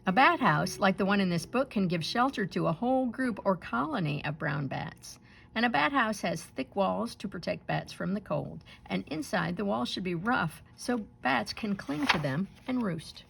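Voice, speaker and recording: Low-voiced woman reading a children's story. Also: moderate pace, creaky. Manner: expressive, acts out sounds and dialogue. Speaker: storyteller reading a picture book aloud. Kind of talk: animated read-aloud narration for children.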